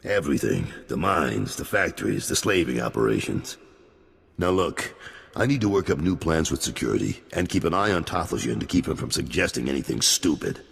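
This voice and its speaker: Brief: very deep, rough, husky crime lieutenant, blunt, echoing hall. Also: male voice, very animated, fairly quick, fairly bright tone, heavily acted. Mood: weary. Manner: blunt, warning. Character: gravelly middle-aged male crime lieutenant, wary and blunt. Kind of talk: video game cut-scene acting.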